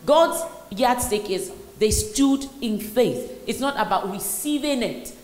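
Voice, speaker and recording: A Nigerian woman hosting a live session, telling stories in an animated way with bursts of laughter. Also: a little high, slow pace. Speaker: host. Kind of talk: lively onstage conversation. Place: large hall. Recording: echoey hall with faint noise.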